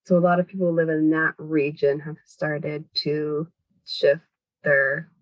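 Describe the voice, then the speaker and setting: A woman's voice: low-pitched, level tone, a little slow, no echo, highs cut off. Woman, podcast guest, long explanations, hedging, dry recording. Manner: thoughtful, hedging with "kind of" and "sort of". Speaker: podcast guest, language education organizer. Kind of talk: explanatory conversational interview answers.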